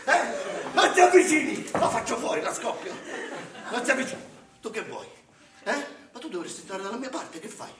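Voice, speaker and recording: An actor playing a frazzled, short-tempered Santa Claus in a live comic stage monologue, coaxing sweetly and then shouting. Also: male voice, very high voice, very animated, normal speed. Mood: exasperated. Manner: sugary coaxing that tips into shouting. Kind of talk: live theatre comedy monologue. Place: theatre stage. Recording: distant, roomy live stage recording with noise.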